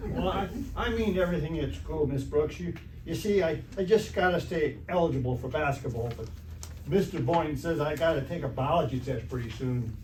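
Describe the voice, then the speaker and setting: A man playing a dim, anxious teenage athlete with halting speech in an old-time radio comedy. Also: on the high side, darkish tone, close to an everyday voice. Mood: worried. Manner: halting, slow-witted, ungrammatical. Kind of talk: 1940s radio comedy acting, staged revival. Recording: echoey hall from far off, faint noise.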